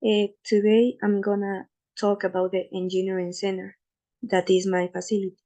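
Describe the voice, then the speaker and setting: Gravelly-voiced woman presenting slides. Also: medium pitch, flat delivery, mellow. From Ecuador. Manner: careful, slightly halting non-native English with fillers. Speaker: student presenter.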